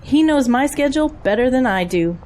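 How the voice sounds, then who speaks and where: Actress as high-voiced, driven campaign manager mom. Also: female voice, expressive, fairly quick, mellow. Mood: apologetic. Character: busy political campaign manager and single mother. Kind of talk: audio drama acting.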